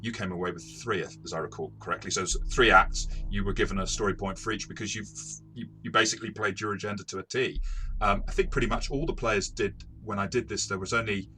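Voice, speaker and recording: A man's voice: lowish voice, quick pace, sharp tone, soft background music. A man talking through a tabletop game review as its game master, explaining in a conversational, self-correcting way.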